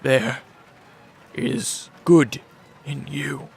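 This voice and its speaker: An actor playing a feeble, pleading doctor in a radio drama, his words halting and strained. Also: fairly high pitch, a little mellow, exaggerated voice. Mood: pleading, weak. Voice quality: very creaky, strained.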